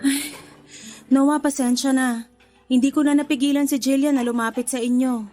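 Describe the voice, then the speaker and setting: Supporting radio drama part: woman as protective, courteous young mom, high-pitched voice, faint music behind. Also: little pitch movement, moderate pace, mellow, lightly acted. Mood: apologetic, gently chiding. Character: polite, protective young mother. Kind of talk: Tagalog radio drama acting.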